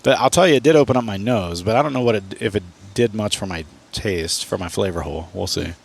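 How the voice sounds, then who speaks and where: Podcast co-host, man, low gravelly voice, blunt and joking, slightly congested. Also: quick pace. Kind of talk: casual tasting chat.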